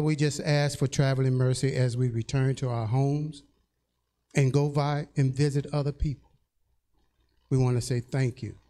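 Older man praying with a mellow voice. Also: medium pitch. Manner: earnest, steady praying. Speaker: church elder leading prayer. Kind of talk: closing prayer. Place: church sanctuary, indoors. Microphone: handheld mic.

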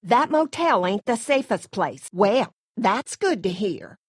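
A woman's voice: medium pitch, big pitch swings, slow pace, husky, dry recording, studio quality, heavily acted. A woman playing a middle-aged Southern farm mother from a video game, with folksy, effusive hospitality and a controlling streak. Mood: soothing, folksy. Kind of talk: video game character acting.

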